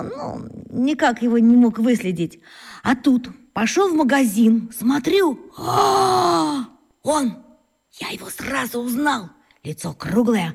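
Excitable high-voiced boastful schoolboy. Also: female voice, vocal fry, husky, full character voice. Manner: breathless, boastful storytelling with exclamations. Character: excitable boastful schoolboy playing amateur detective. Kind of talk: children's radio drama acting.

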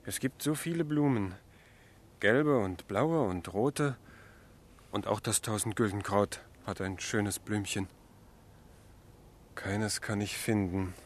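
An actor playing a gentle, childlike madman in a radio drama, speaking in a wistful, wandering way. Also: fairly low pitch. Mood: searching, plaintive. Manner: slow, wistful, simple-minded, wandering. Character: gentle mad young man, dreamy, childlike, melancholy. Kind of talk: German literary radio drama acting.

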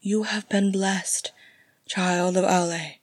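A woman voicing a gentle, mysterious elf lady in a fantasy story, with a sweet, soft, breathy voice and a formal, soothing manner. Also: mid-range voice, steady tone, unhurried, no room sound. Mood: gentle, solemn.

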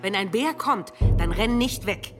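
A woman plays a solemn villager in a radio play. Her medium-pitched voice is earnest and instructive, with soft music behind. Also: animated, measured pace, lightly acted. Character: solemn village woman invoking ancestral teaching. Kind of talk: German radio play acting.

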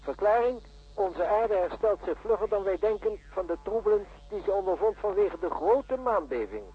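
A patronising, evasive male professor in a radio drama, pitched high for a man with a husky edge. He lectures in formal, pedantic phrases. The recording is dull and lo-fi. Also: expressive, normal speed. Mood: smug, lecturing. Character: smooth-tongued, condescending, evasive male professor of seismology. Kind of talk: radio play acting.

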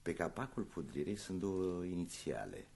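A shrewd police commissioner in a radio drama, with an extremely low, gravelly voice. He is ironic and probing, swinging his intonation as he insinuates, over faint recording noise. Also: very animated, darkish tone, exaggerated voice. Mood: ironic, pressing. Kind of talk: Romanian radio theatre acting.

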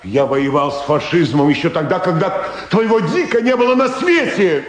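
A man's voice: sits high, lively intonation, normal speed, echoey room, music in the background. An actor playing a dutiful middle-aged prosecutor and father in a radio drama, hesitant yet stiffly principled, speaking with restraint. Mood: stern, dutiful.

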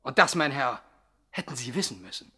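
An officious police inspector in a German radio comedy. The voice is mid-pitched and forceful, with an emphatic, blustering delivery that repeats itself like a bumbling official. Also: male voice, sing-song, fairly quick, breathy edge, exaggerated voice. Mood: stern, blustering.

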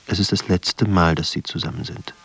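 A male actor as narrator, reading sombre scene descriptions in a radio drama with a husky voice. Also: low-pitched, steady tone, normal speed, a bit bright. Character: sombre storyteller setting a sickroom scene.

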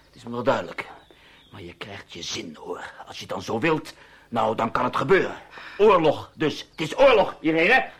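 An actor in a radio drama playing a nervy, suspicious husband with an insistent, chattering manner. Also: male voice, mid-range voice, sing-song, normal speed, a bit bright, husky, full character voice. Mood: angry, accusing. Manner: chattering, insistent, seeks agreement with tags. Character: nervy, suspicious husband, falsely hearty, quick to accuse.

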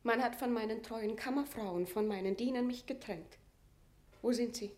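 A proud, imperious captive queen played by a woman in a classical radio drama. Her voice sits fairly high, and she declaims formal verse with measured, aggrieved dignity. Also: a little mellow, natural voice. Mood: distressed.

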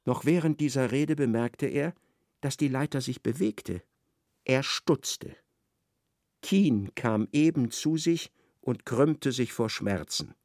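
A man narrating a literary radio play in a measured, scripted manner. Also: medium pitch, darkish tone, dry recording, clean studio sound. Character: measured male narrator of a satirical novel.

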